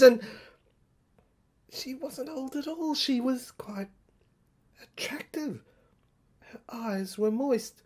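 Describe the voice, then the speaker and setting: A woman's voice: on the high side, darkish tone, slightly rough. A woman performing a haunted, agitated narrator in an audio drama monologue, stammering and repeating words. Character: haunted, agitated narrator recalling an uncanny asylum.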